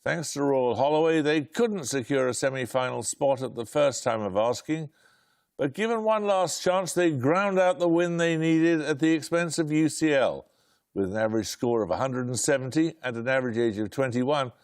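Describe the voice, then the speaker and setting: A man hosting a quiz show, reading out questions in a brisk, precise way with dry, wry humour. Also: medium pitch, animated, dry recording.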